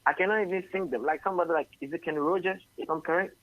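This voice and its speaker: A Ghanaian singer-songwriter answering radio interview questions at length, often adding "you know". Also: male voice, fairly high pitch, rapid-fire, phone-quality sound. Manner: rambling, tags sentences with "you know". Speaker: singer-songwriter and label head.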